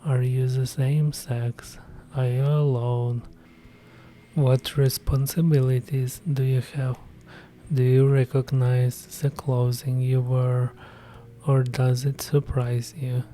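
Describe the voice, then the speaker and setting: A man guiding a meditation in a soft, hushed, whispery voice. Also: mid-range voice, little pitch movement, takes it slowly, a little hiss or hum. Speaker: meditation guide. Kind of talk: guided meditation narration.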